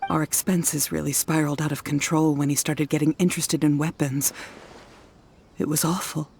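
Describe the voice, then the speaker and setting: Worried, earnest wife. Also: female voice, low-pitched, fairly even intonation, moderate pace, vocal fry, darkish tone, slight background noise. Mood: distressed, exasperated. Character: worried, weary wife and mother, earnest, forgiving. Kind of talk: video game voice acting.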